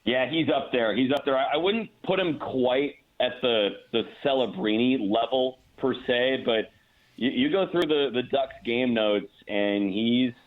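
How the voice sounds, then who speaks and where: A man's voice: mid-range voice, a little slow. A man, a play-by-play announcer appearing as a guest, talks through hockey analysis conversationally over a remote call. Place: remote call into a radio show. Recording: tinny, narrow-band phone line.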